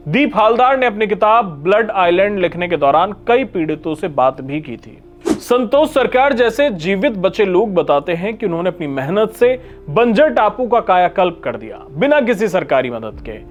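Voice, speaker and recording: A man with a notably high voice narrates a scripted documentary over background music. His storytelling is grave and emphatic, with dramatic weight on key phrases.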